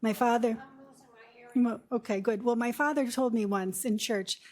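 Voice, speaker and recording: A woman in her fifties with a low voice, giving a conversational seminar talk as a nurse practitioner with storytelling asides. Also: steady tone, normal speed, a little mellow. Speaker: guest speaker, nurse practitioner. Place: lecture room.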